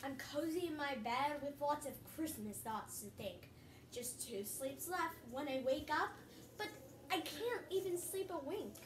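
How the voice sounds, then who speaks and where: A woman's voice: sits high, expressive, a little slow. A young girl co-hosting a casual storybook reading, chatting and reading aloud. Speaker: co-host and storybook reader. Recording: distant mic, roomy echo, faint noise.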